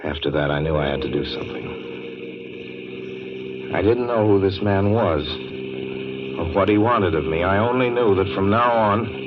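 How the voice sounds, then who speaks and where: An intense, haunted young man in a 1940s radio thriller. His pitch moves dramatically and his delivery is troubled and urgent. The recording is dull and heavily noisy, like an old broadcast. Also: animated. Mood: brooding, shaken. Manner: intense, halting when pleading. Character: haunted young man driving cross-country, increasingly frantic and desperate. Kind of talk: 1940s radio acting, first-person suspense narration.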